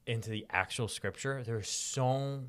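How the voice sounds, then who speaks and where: A man's voice: mid-range voice, normal speed, fairly bright tone, smooth. A young man co-hosting a podcast, chatting about Bible stories in an informal, slangy, enthusiastic way. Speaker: podcast co-host.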